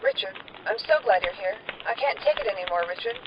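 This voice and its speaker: A woman's voice: medium pitch, lively intonation, fast talker, natural voice. A woman playing an exasperated, long-suffering young wife in an old-time radio melodrama. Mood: distraught. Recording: dull old-radio sound, clear background noise.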